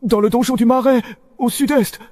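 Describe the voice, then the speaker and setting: Woman as whiny pleading villager captive, high voice, dry sound, anime dub. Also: fairly even intonation, moderate pace, mellow, dry recording, natural voice. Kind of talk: dubbed animated series acting.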